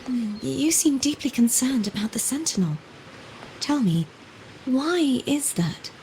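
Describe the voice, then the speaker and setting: An actress playing a mysterious, elegant woman in a video game, composed and softly probing in a measured way. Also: sits high, very animated, a little hiss or hum, heavily acted, rough recording. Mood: pensive. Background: game music.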